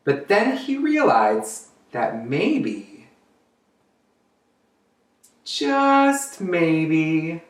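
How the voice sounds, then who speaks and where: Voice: medium pitch, sing-song, drawn-out words, pauses often, far from the mic. A drag queen host reading a children's picture book aloud in a theatrical way, drawing out words for young listeners. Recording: roomy, echoey, away from the mic.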